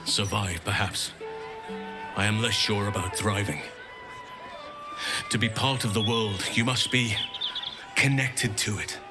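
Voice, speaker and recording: An actor playing a gentle, earnest, world-weary druid in a fantasy video game, speaking in a grave, measured, reflective way. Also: male voice, lowish voice, fairly even intonation, close to an everyday voice. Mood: troubled, reflective. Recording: loud music behind.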